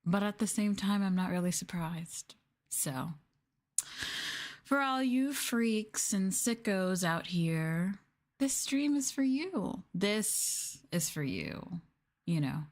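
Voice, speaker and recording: A woman streamer doing a sadistic ASMR-style roleplay, talking down to her listeners in a teasing, mocking way with playful drawn-out emphasis. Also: medium pitch, animated. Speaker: VTuber streamer, host.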